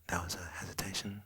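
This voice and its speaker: Young male ASMR creator, put-on British accent, soft rambling whisper, low voice with a bright edge. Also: husky.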